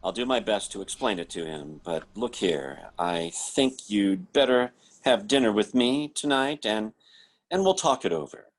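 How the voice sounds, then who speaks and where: A man's voice: on the low side, animated, a little slow, a little hiss or hum. A man acting a nervous, hesitant middle-aged toy salesman in a 1940s-style radio drama. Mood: awkward, kindly. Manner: hesitant, stammering, flustered.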